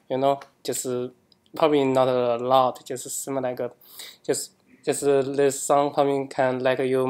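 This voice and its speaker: A man from China speaking English with a Chinese accent, a student haltingly searching for words in his class introduction. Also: mid-range voice, a little mellow. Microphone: laptop webcam.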